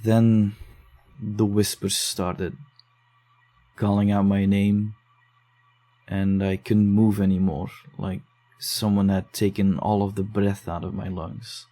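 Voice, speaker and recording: A man's voice: fairly low pitch, little pitch movement, mellow. A man playing a shaken young investigator. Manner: defensive, uneasy, hurried. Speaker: narrator and lead character. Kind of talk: audio drama acting.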